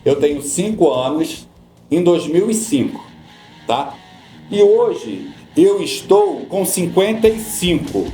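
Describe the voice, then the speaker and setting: A middle-aged Brazilian man, a guest on a podcast, who explains pension rules patiently and often repeats his points to calm the listener. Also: sits high, slow pace, reverberant, soft background music. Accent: Brazilian Portuguese. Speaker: social security law guest.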